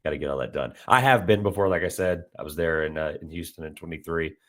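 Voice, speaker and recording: Male podcast host, very low voice, slightly raspy, chatty and rambling. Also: fast, a bit bright. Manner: rambling, lots of "like" fillers and restarts. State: slightly raspy from heavy talking. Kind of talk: casual sports podcast chat.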